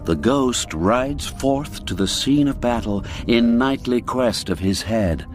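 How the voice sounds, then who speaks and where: A man narrating a classic radio story in a measured, deliberate storytelling manner. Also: mid-range voice, expressive. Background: faint background music.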